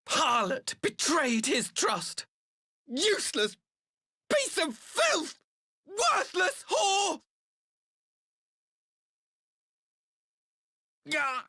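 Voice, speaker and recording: A man's voice: very high voice, bright, forceful tone, no room sound, studio quality, full character voice. An actor playing a jealous, spiteful young male villain in a video game cutscene, theatrical and venomous, with formal archaic diction. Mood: hysterical rage.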